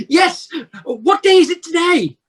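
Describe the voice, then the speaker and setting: An actor playing an emotional, repentant old male miser in an amateur radio play, with theatrical, halting exclamations. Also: extremely high pitch, animated, exaggerated voice. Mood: giddy joy. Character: repentant old male miser, desperate then giddily joyful. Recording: home video-call audio.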